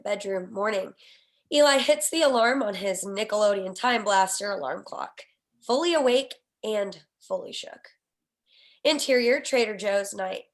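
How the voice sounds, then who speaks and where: A woman reading out scene headings and action lines as scripted narration for a screenplay table read. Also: mid-range voice. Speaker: stage direction reader.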